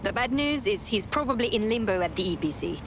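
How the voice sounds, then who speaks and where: An actress playing a determined, concerned undercover operative, speaking directly in a video game. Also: female voice, medium pitch, expressive, brisk, noisy background, close to an everyday voice. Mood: focused, guarded. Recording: music behind.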